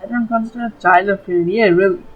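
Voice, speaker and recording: A woman's voice: medium pitch, steady tone, fairly quick, phone-quality sound, a crowd in the background. A woman giving casual, chatty let's play commentary on a video game, leaning on little verbal tags. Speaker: gaming video host. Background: game music.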